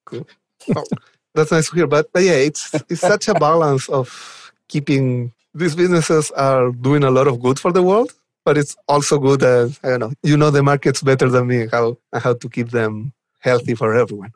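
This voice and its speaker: A man with a Chilean Spanish accent talks casually as an interview guest. His voice sits at a medium pitch and his manner is enthusiastic. The sound is dry, with little room to it. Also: normal speed, no room sound. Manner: enthusiastic, chuckles while talking. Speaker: guest, software developer advocate. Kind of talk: casual podcast interview chat.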